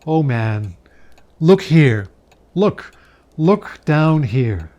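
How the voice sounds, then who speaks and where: Solemn, commanding aging male ghost, played by a male reader, slow and declamatory, for a Victorian storybook. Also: fairly high pitch, very animated, dark tone, muffled recording, exaggerated voice. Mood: bitter, commanding.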